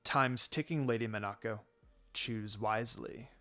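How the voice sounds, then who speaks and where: Man playing a measured, menacing old schemer. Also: fairly low pitch, pushed and bright, faint music behind. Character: sinister old man, scheming village elder, coldly threatening.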